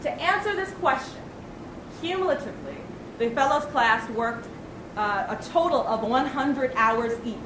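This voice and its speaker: Young woman presenter, slightly high, measured delivery, far-off mic in an echoey noisy hall. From Northern California. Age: young adult. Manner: measured, with some fillers. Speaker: program presenter. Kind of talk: prepared presentation to a community audience. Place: large hall with audience.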